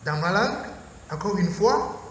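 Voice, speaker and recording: Slow, high-voiced priest in echoey hall. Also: male voice, big pitch swings, a bit bright. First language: Mooré. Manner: slow, deliberate, emphatic phrasing in short measured phrases. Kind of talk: Lenten lecture sermon. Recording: echoey hall from far off, faint noise.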